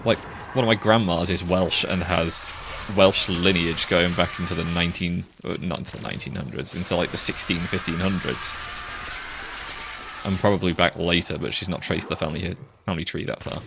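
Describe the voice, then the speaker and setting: A man's voice: sits low, steady tone, fairly quick, vocal fry. A young man, chatting casually while streaming a game, laid-back and swearing now and then. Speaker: VTuber streamer. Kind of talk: casual gameplay chat with chat. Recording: game sound noise behind voice.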